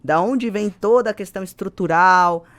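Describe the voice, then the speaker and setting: A woman's voice: mid-range voice, moderate pace. A Brazilian woman speaking as an executive guest on a podcast, explaining emphatically and checking in with little tag questions. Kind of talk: podcast interview conversation.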